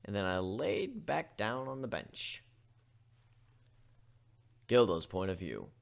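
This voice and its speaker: A man giving an amateur dramatic reading of a first-person story, expressive and shifting between narration and character voices. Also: fairly low pitch, slow, gravelly.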